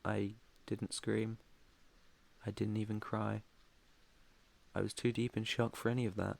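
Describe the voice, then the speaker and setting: Man playing a low, soft-spoken British vampire master. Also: little pitch movement, a little slow, creaky. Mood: haunted, solemn. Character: protective male vampire master, haunted, tender. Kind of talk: intimate ASMR roleplay monologue.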